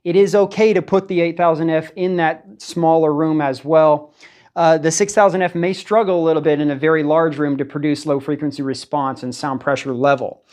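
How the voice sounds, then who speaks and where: A man's voice: a little high, steady tone, fairly quick, a little mellow. Man casually explaining product specs. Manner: informal, explanatory. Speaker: co-host and audio technician.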